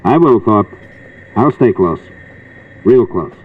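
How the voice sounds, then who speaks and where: A man's voice: on the low side, animated, takes it slowly, breathy edge, phone-quality sound, exaggerated voice. Coolly menacing man, distant old radio. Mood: quietly menacing. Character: coolly menacing diver with a murderous secret. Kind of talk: 1950s radio drama acting.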